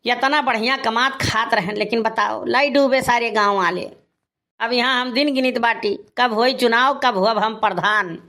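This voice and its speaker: A man's voice: very high-pitched, moderate pace, sharp tone, full character voice. A man playing an aggrieved, boastful village husband in a cartoon comedy, grumbling and scolding with a rustic eastern Uttar Pradesh accent. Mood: exasperated. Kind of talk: Awadhi cartoon comedy dialogue.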